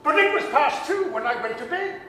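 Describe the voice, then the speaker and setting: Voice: high-pitched, lively intonation, a little fast, fairly bright tone. A performer playing a crotchety, nervous old male miser who stammers and gets flustered, in a theatrical 1930s radio drama style. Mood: befuddled muttering. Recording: very echoey hall livestream with hiss.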